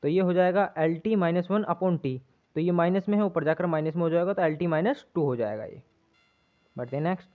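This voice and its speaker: A man's voice: fairly high pitch, a little fast. A man giving an exam-prep lesson as a physics teacher, explaining step by step, repeating himself and checking in often. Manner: repetitive, checks in often with tag questions. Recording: muffled, narrow lo-fi sound.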